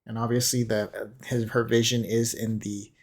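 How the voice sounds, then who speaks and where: A man talks through what he is analysing in a casual commentary style. He is hesitant and halting, working out his thoughts aloud at an ordinary middling pitch. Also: little pitch movement, vocal fry. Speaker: commentator on a game character trailer.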